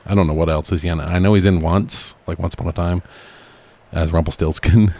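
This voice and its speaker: A man's voice: low-pitched, fairly even intonation, brisk, mellow. A man co-hosting a podcast, chatting casually about movies with frequent chuckles and swearing. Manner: chuckles often, profane. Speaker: podcast host.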